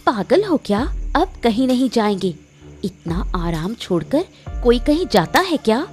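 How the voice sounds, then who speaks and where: A woman plays a scheming cartoon wife, cunning and ruthless. Her voice is mellow and dark-toned, and she speaks with bossy self-assurance, over clear background music. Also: fairly high pitch, sing-song, measured pace. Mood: bossy, smug. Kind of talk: Hindi cartoon story voice acting.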